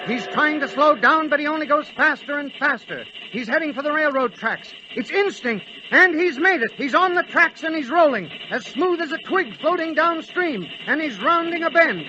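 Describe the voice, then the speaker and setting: A man's voice: extremely high pitch, lively intonation, moderate pace, few pauses, pushed and bright, full character voice. A man playing an urgent, dramatic narrator, giving breathless play-by-play in 1940s radio drama style. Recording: dull, noisy vintage radio recording.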